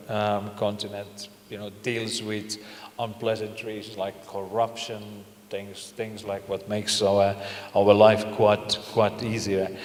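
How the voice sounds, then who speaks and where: A middle-aged man moderating a panel, asking questions in a halting way with non-native phrasing. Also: on the low side. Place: large indoor conference stage. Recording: echoey, reverberant conference hall.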